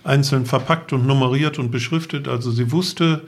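Matter-of-fact middle-aged man with creaky voice. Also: medium pitch, normal speed. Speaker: podcast guest, motorcycle traveller. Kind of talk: casual anecdotal explanation.

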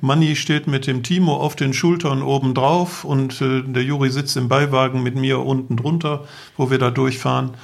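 A man's voice: medium pitch, level tone, moderate pace, gravelly. A middle-aged man casually recalls travels in a conversational storytelling way. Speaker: podcast guest, travelling father.

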